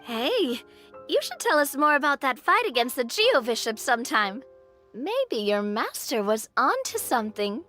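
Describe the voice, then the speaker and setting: A cheerful, curious young woman from a video game, high-pitched and very lively in intonation. She is friendly and tactful and takes her time. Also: measured pace, faint music behind, exaggerated voice. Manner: friendly, expressive. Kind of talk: scripted video game dialogue.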